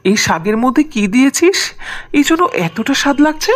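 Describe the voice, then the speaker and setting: A woman's voice: sits high, sing-song, a little fast. A woman voicing a band of village brothers in a cartoon, sounding eager and scheming. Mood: delighted. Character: greedy elder brothers and pleading little brother. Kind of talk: Bengali cartoon dubbing.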